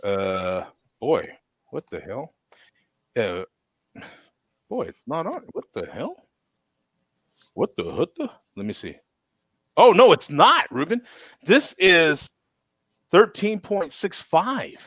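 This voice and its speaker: A man's voice: a little high, huge pitch swings, slow pace, long pauses, fairly bright tone, dry recording, dull audio. A man hosting a casual chat show in a folksy manner, often repeating himself as he talks. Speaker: show host.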